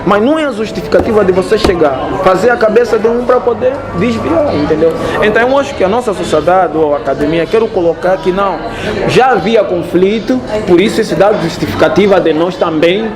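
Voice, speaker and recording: A man's voice: high voice, fairly quick, over music. A man from Angola with an Angolan Portuguese accent, a guest explaining at length and often checking that he is understood. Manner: didactic, keeps checking if understood. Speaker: interview guest. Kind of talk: explanatory interview talk.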